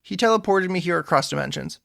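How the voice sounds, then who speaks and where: A man's voice: high voice, lively intonation, fast, vocal fry, a bit bright, no echo, clean studio sound, full character voice. A man playing an earnest, awkward young superhero sidekick who politely rambles through explanations in an audio drama. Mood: earnest, explaining. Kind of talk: scripted audio drama dialogue.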